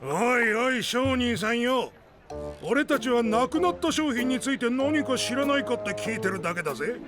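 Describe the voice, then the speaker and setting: Brash high-voiced young guy, very animated. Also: moderate pace, over music. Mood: pressing, suspicious. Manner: brash, casual, pressing. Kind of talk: anime voice drama acting.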